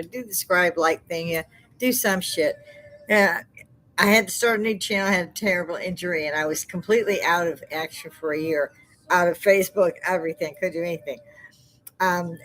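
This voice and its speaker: Older woman, loud and laughing, a live-stream host chatting at her desk mic. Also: on the low side, low-quality recording. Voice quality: loud speaking voice. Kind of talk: casual live-stream chat. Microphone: desk microphone.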